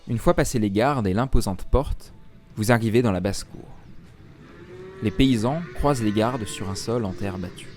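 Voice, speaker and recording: A man narrating an original fantasy audio story in the second person, steady and descriptive. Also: fairly low pitch, moderate pace, faint music behind.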